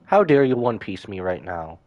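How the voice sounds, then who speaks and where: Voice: low-pitched, big pitch swings, normal speed, highs cut off. A game streamer reacting live to a story, commenting casually and breaking into dramatic exclamations. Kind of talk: live gameplay reaction and commentary, reading some dialogue aloud. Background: video game music.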